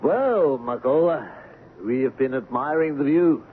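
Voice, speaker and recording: An actor playing a weary middle-aged European ivory trader in a radio drama, formal and restrained in manner. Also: male voice, medium pitch, lively intonation, unhurried, close to an everyday voice. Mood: polite, inquiring. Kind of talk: 1970s radio drama acting. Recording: muffled, noisy 1970s AM radio recording.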